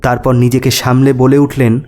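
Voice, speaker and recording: A man's voice: mid-range voice, monotone, normal speed, darkish tone. A man narrating a scripted ghost story as a storyteller.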